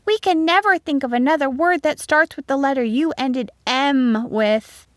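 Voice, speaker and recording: A woman's voice: on the high side, big pitch swings, unhurried, no room sound, clean studio sound, voice pushed up. A woman voicing eager, outspoken young schoolchildren from a storybook, with an exclaiming, childlike delivery. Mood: protesting.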